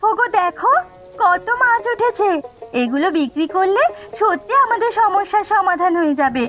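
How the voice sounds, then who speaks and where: A woman's voice: very high voice, expressive, normal speed, a bit bright, faint music behind. A woman voicing an earnest, worrying village wife in a folk-tale cartoon. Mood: amazed. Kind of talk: Bengali cartoon dialogue acting.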